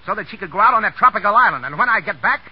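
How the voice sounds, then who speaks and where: Wisecracking young guy in 30s radio play, talks fast, husky, sarcastic, dull old recording. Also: high voice, expressive, exaggerated voice. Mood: heated. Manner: curt, slangy, sarcastic, rapid-fire. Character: brash, wisecracking young man, proud and stung. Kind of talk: 1930s American radio acting. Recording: dull, tinny 1939 radio broadcast.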